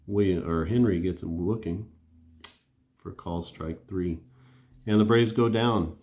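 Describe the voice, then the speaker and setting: A man with a low, warm voice talks through a tabletop baseball replay at an easy, conversational pace. He thinks aloud between rolls as if calling the game himself. His voice rings a little in a roomy, echoing space. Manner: relaxed and conversational, thinking aloud while rolling. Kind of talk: casual play-by-play of a dice baseball game.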